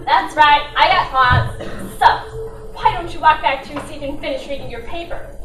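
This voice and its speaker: A woman's voice: sits high, moderate pace, over music, lightly acted. A woman playing a bossy, sarcastic, suspicious gangster sister in an old-time radio drama. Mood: scornful. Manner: sarcastic, scornful, commanding. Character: sharp-tongued, bossy, suspicious brunette sister, secretly a gangster. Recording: roomy, distant mic with faint noise.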